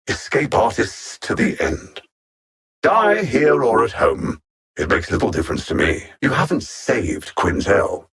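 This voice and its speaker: A man's voice: fairly low pitch, creaky, fairly bright tone, slightly rough, full character voice. A man playing a pompous alien machine-intellect conqueror in a video game, coldly sardonic and formal, with theatrical menace. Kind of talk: scripted video game villain dialogue.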